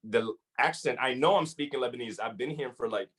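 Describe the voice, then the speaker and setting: Man, hip hop artist guest, casual talk, slangy, mid-pitched voice. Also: a little fast, breathy edge. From Philippines, Saudi Arabia and Syria. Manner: relaxed, slangy, drops in Arabic phrases. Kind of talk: casual interview chat.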